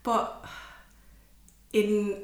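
A woman's voice: medium pitch, flat delivery, very slow. Woman, hesitant, echoey room. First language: Cantonese. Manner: hesitant, searching for words, laughs often. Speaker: artist guest. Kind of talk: casual conversation.

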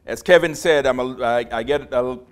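A man in his forties from Trinidad delivers a sermon in a small room. His voice is big and passionate, and he speaks with frequent pauses and fillers as he builds up steam. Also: mid-range voice, clean tone. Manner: passionate, grows louder as he preaches. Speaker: visiting preacher.

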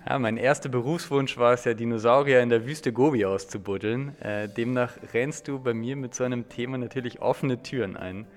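A man's voice: mid-range voice, brisk, few pauses, long reverb tail. An Austrian man co-hosting a podcast, talking through a book review in a thoughtful, slightly hesitant conversational way.

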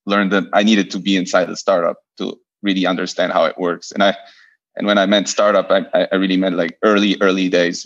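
Podcast guest, male, 30s, from Portugal; deep, flat delivery, stop-start phrasing, lo-fi remote recording. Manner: hesitant, many repeated starts. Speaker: guest, venture investor. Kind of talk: podcast interview.

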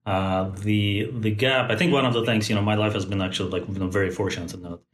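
Low-voiced man in his forties, thoughtful. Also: steady tone, fast talker, a little hiss or hum. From born in Moscow, raised in Tajikistan. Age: 40s. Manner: thoughtful, many fillers and restarts. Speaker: guest, company founder. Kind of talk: podcast interview. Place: Bay Area.

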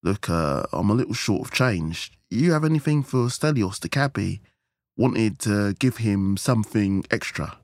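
A man's voice: lowish voice, lively intonation, a little slow, a little mellow, no echo, studio quality, voice pushed up. A man voicing a battered, boozy husband, roguish and casual, in a comic story. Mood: weary, casual. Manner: laconic, casual.

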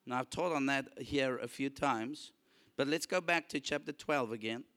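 A man whose first language is Afrikaans, preaching a lively sermon and calling out to his congregation for responses. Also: fairly high pitch. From South Africa. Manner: animated, calls for audience response.

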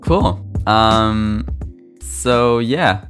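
A man's voice: lowish voice, lively intonation, very slow, over music. A young man co-hosting a casual podcast, chatty and filling his talk with likes and ums. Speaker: podcast co-host, product designer. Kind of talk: casual chat.

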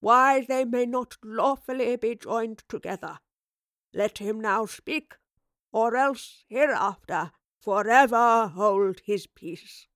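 Woman doing a storybook priest, really slow and drawn out, ceremonious, dry sound. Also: on the high side, fairly bright tone, no echo. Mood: solemn. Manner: intoned, formal, drawn-out. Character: solemn, ceremonious male priest officiating a wedding.

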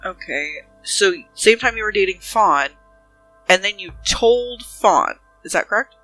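A businesslike female detective, played by a woman at a gaming table, with a bright, forward voice. She probes methodically and keeps a measured manner, over soft background music. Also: medium pitch, lively intonation, poor audio. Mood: probing, calm.

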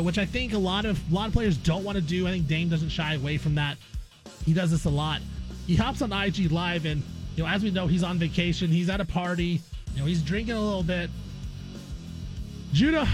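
A man hosting a sports talk radio show, giving opinionated commentary in a conversational way. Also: high-pitched. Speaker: sports radio guest host. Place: radio studio.

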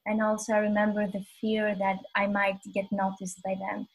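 Husky young woman, a modest interview guest. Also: medium pitch, monotone, normal speed, rough recording. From Albania. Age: 20s. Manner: soft-spoken, modest, earnest storytelling. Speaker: podcast guest. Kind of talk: casual interview conversation.

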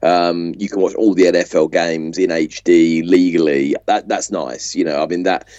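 A British man with a low, dark, relaxed voice co-hosts a casual sports podcast. He talks in a matey, colloquial way. His microphone sounds a little dull and lo-fi. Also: normal speed, no room sound. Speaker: podcast co-host.

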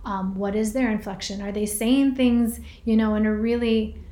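A woman hosting a reflective podcast, talking casually and gently to her listeners and often checking in with "right?" and "you know.". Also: mid-range voice, fairly even intonation, moderate pace, echoey room. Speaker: podcast host and workshop leader. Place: staying in a rented home.

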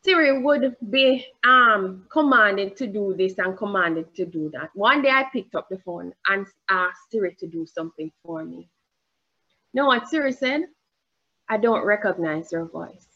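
A woman with a Jamaican accent preaching a sermon in church, deliberate and emphatic, rising on her questions and pausing with fillers as she searches for words. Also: mid-range voice, expressive, dull audio.

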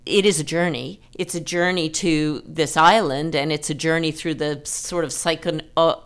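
A woman's voice: deep voice, fairly even intonation, moderate pace, clear, resonant tone. A woman hosting a casual literary discussion, explaining her ideas with emphasis. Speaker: host of a fiction discussion podcast.

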